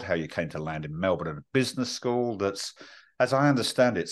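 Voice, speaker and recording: Low, lively-voiced male podcast host. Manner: formal, polished, long winding questions. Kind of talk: scripted introduction and interview questions.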